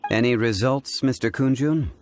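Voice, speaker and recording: A man voicing a composed, learned gentleman in an anime-style video game, formal, measured and authoritative. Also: medium pitch, slight background noise, close to an everyday voice. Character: composed, dignified, learned gentleman, commanding and grave.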